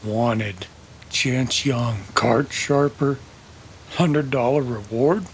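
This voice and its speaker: A man's voice: medium pitch, very slow, slight background noise, voice pushed up. A man playing a vengeful, sardonic cowboy in an old-time western radio drama, with wry drawling banter. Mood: bitter disbelief. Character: vengeful, wry male cowboy tracking his nemesis.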